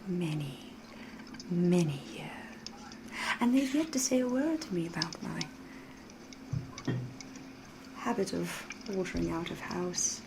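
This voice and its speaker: A woman playing a sly, elegant, flirtatious vampire in a soft-spoken, half-whispered ASMR roleplay, with genteel phrasing and teasing pauses. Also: fairly low pitch, animated, vocal fry, noisy background. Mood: sly, inviting.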